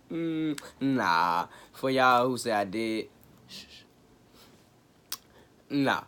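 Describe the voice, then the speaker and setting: A man hosting his own sports talk show, raspy from a cold, chatting casually with slang and jokes. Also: mid-range voice, fairly bright tone. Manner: laid-back, joking, chatty with slang. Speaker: sports podcast host.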